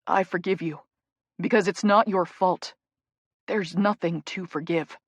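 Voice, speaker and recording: A woman voicing a gentle young male hockey player who comforts his partner in low, soft murmurs. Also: steady tone, unhurried, dry recording. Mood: soothing.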